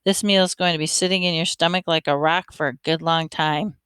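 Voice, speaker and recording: A woman's voice: low-pitched, fairly even intonation, fairly quick, fairly bright tone, smooth, no echo, studio quality. A woman voicing a joking older man, a grandfather who teases a boy, in a drawling way. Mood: teasing.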